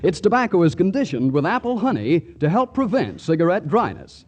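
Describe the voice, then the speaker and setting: A male announcer from 1940s commercial radio. His highish voice is very animated, delivering folksy jokes and sales copy like a friendly pitchman. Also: a little fast. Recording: vintage 1940s radio broadcast.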